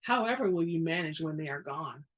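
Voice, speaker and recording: A woman reader gives voice to a storybook noblewoman, composed and polite with a dry edge. Her voice is a little low and husky, her phrasing formal and measured. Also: animated. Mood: dry teasing.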